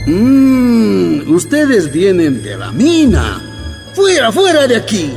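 A woman's voice: medium pitch, wildly swooping pitch, slow pace, creaky, a little mellow, soft background music, heavily acted. An actress playing a hostile, suspicious townswoman in a radio drama, scornful and curt. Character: hostile, suspicious townsperson turning away mining families.

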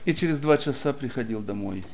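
A man preaching and telling a personal story in short phrases, pausing after each so it can be interpreted. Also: mid-range voice, lively intonation, darkish tone, a little hiss or hum. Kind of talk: sermon testimony, interpreted line by line.

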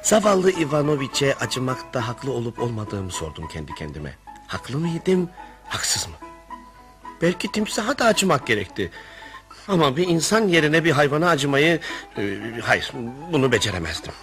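An earnest, anxious gentleman in a radio theatre piece. His voice is bright and swoops expressively, a little high for a man, with theatrical emphasis and faint music around it. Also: very animated, moderate pace. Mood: reflective, wry. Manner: theatrical, reflective storytelling, emphatic protests. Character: earnest, anxious male narrator, sympathetic family friend. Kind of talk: radio theatre narration and dialogue.

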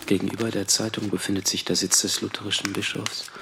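An actor playing a solitary, reflective last man on earth in a radio drama, brooding and measured, with music behind him. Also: fairly low pitch, level tone, creaky, background noise. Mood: dry, wondering. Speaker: actor narrating a radio play. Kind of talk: literary radio drama monologue.

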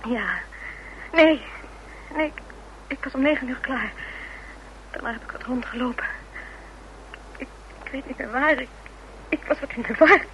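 An actress playing a distraught, evasive woman under questioning in a radio play, halting and flustered. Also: high voice, a bit bright. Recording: dull, noisy old radio recording.

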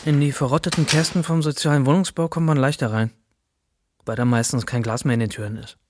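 A man's voice: medium pitch, steady tone, quick pace, lightly acted. An actor playing a sardonic, streetwise bike courier narrating his job in a radio drama. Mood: wry. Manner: colloquial, sardonic. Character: wry, streetwise male bike courier telling his story. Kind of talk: dramatic first-person narration.

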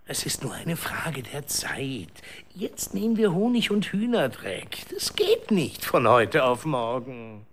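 A woman playing a placid, evasive herb healer in a children's radio play, with drawn-out, hesitant delivery. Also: very deep voice, wildly swooping pitch, a little hiss or hum, exaggerated voice. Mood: placid, reassuring.

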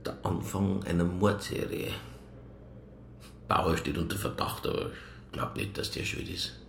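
An actor playing a fat, hard-drinking, weary police inspector in a radio crime drama, dry and blunt. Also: male voice, deep voice, fairly even intonation, measured pace, vocal fry, fairly bright tone, slight background noise, exaggerated voice. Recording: roomy radio play studio sound.

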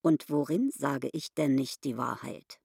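A woman's voice: very deep voice, a little slow, gravelly, dark tone, husky, full character voice. An actress playing a haughty, suspicious inn landlady in a literary radio drama, talking in an imperious, probing, mocking way. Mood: indignant, accusing.

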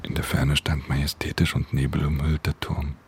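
An actor playing a wounded, stubborn pickpocket who narrates his own dying in a crime radio drama, hushed, terse and introspective. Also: level tone, normal speed, very noisy. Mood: weak, determined. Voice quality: gravelly, breathy low murmur. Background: quiet music.

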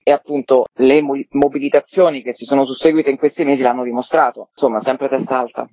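A man giving a measured, reflective answer as a guest in a radio interview. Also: medium pitch, fairly even intonation, warm tone, no room sound. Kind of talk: spontaneous radio interview talk. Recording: slightly dull dry radio line.

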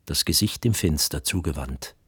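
Deep-voiced male radio drama narrator. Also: expressive, a bit bright, faint music behind. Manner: measured, precise.

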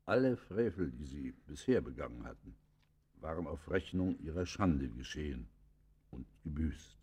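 A deep, dark-voiced stern magistrate. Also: male voice, lively intonation, moderate pace, slightly rough, heavily acted. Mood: stern reproach. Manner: grave, formal, admonishing. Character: stern, moralizing male magistrate questioning a criminal. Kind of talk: German radio play acting.

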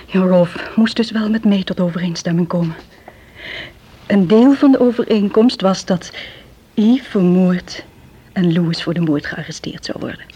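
An actress playing an anxious, addicted woman making a halting confession in a Dutch radio drama. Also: fairly low pitch, dark tone, breathy edge. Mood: tense, confessing. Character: anxious drug-addicted young woman confessing a criminal scheme. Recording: muffled, lo-fi old radio play recording.

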